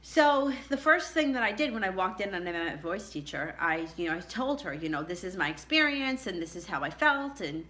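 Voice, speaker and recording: A middle-aged woman with a low contralto voice telling a candid personal story in a chatty way, laughing often. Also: very animated, a little fast, hardly any pauses, sharp tone, poor audio. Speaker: vlogger.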